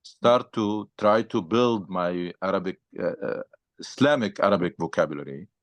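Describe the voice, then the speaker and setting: A man's voice: low-pitched, moderate pace, no room sound. A man who teaches religion, answering questions in a study session with patient, step-by-step explanations and frequent fillers.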